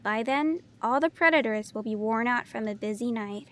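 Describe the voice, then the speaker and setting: A woman's voice: fairly high pitch, normal speed, fairly bright tone, natural voice. A woman playing a young cave lioness, a protective and cautious wilderness guide in an audio drama. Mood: stern, cautioning.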